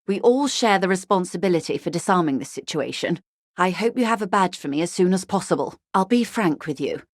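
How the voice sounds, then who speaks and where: Game role: poised upper-class female negotiator, lower register, formal, measured, faintly arch, dry studio sound. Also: lively intonation, no room sound. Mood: measured, firm. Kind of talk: video game dialogue acting.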